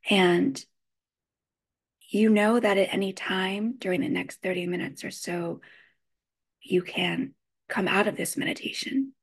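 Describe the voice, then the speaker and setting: A woman leading a guided meditation, giving gentle instructions in measured phrases with frequent pauses. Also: mid-range voice, breathy edge.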